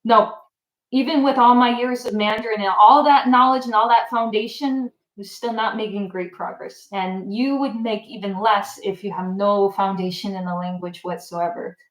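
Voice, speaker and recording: A woman hosting a casual livestream, chatting with viewers and answering their comments in a relaxed, filler-heavy way. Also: medium pitch, moderate pace. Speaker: channel host. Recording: echoey room.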